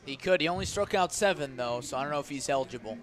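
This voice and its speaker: A man's voice: medium pitch, expressive, quick pace. A man doing live baseball play-by-play as a radio announcer. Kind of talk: live radio sports commentary.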